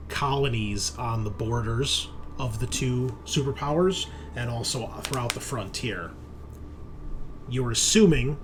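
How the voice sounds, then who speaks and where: Man game-mastering over clear background noise. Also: medium pitch, darkish tone. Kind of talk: narrating a tabletop roleplaying game, explaining lore.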